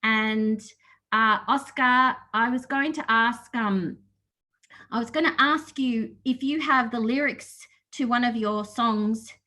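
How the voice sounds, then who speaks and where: A woman's voice: a little high, slow, pushed and bright. A woman hosting an online panel, introducing guests in a friendly, slightly hesitant way. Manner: friendly, a little hesitant, with fillers. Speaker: panel host. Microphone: video call.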